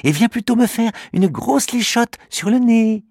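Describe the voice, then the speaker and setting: Male reader voicing a bossy little girl, very high, squeaky, husky. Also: animated, a little slow, darkish tone, dry recording, clean studio sound, heavily acted. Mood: coaxing. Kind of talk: children's storybook reading.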